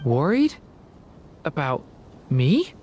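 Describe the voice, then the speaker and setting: Earnest young boy, fairly low and soft, hesitant, apologetic temper, faint music underneath. Video game character. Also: big pitch swings, extremely slow, heavily acted. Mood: moved, apologetic.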